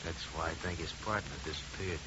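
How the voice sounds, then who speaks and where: Shady streetwise american snoop, defensive n slangy, muffled noisy 1950s radio. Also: male voice, extremely low pitch, steady tone, a little fast. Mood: conspiratorial. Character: shady, streetwise male small-time investigator, touchy and indignant. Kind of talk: 1950s radio detective drama acting. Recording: muffled, very hissy 1950 radio recording.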